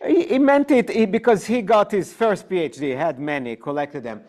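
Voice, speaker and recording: A man's voice: high-pitched, a little mellow. A man with an Israeli accent, a native Hebrew speaker, teaching an informal Bible class and putting questions to his students. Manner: unhurried, explaining with questions to the class. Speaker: rabbi teaching adult education. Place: synagogue classroom.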